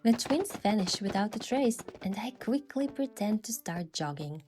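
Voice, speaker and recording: A woman's voice: medium pitch, expressive, normal speed, a little mellow. A woman giving scripted first-person story narration with acted dialogue. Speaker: narrator and lead character of a game event story. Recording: music behind.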